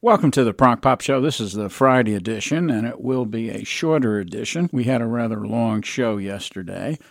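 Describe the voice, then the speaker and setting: A man's voice: mid-range voice, animated, moderate pace, creaky, faint music behind. A man hosting a talk show, giving solo political commentary with a strained, worn-out voice and repeating phrases for emphasis. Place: college radio studio.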